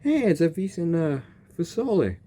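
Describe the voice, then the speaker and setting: Male game streamer: informal, animated reactions with lively pitch swings, faint music underneath. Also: moderate pace. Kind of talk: casual game reaction commentary.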